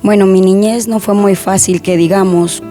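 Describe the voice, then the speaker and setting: A young woman, a guest softly and hesitantly sharing a personal testimony in an interview. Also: medium pitch, fairly even intonation, normal speed, faint music behind. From Honduras.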